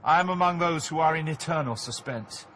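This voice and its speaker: A man playing Virgil, a grave spirit guide, speaking with measured authority in an audio drama. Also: fairly high pitch, fairly bright tone, a little hiss or hum, natural voice. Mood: solemn, reassuring. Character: Virgil, solemn male spirit guide from Limbo.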